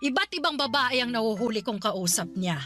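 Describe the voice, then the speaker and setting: Animated, aggrieved Filipina mother. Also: a little high, brisk. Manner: complaining, dramatic. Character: aggrieved, middle-aged Filipina mother, betrayed wife. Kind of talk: Filipino radio drama dialogue. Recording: music bed behind the voice.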